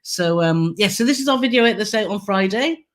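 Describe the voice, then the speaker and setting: A woman with a hoarse, croaky throat co-hosting a casual live Q&A, chatty and giggly as she reads out viewer comments. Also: mid-range voice, expressive, a little fast. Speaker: co-host of a travel channel live stream.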